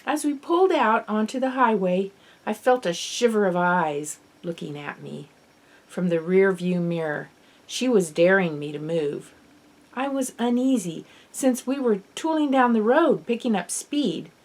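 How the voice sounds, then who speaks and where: A woman reads a first-person children's story as its author. Her voice sits at a medium pitch. The delivery is that of an audiobook narrator, expressive and dramatic in the telling. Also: unhurried. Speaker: author reading own novel.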